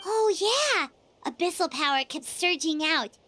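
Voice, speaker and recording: A woman voicing a small, eager, childlike female fairy companion in a video game, expressive and squeaky, over game music. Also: a little slow, no room sound, heavily acted. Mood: subdued. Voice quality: squeaky, high-pitched, childlike. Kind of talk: animated video game character acting. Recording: music loud behind the voice.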